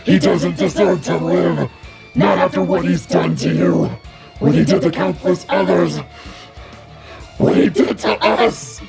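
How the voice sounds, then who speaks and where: Woman acting gravelly, fierce demigod heroine. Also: on the low side, lively intonation, a little slow. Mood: vengeful. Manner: grandiose, formal, menacing. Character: fierce, protective, vengeful demigod wolf heroine. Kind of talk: dramatic audio drama acting. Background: music.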